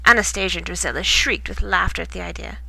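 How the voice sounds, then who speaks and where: Bright-voiced woman reading a bedtime story. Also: lowish voice, steady tone, fast, creaky, no room sound. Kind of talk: expressive storybook narration.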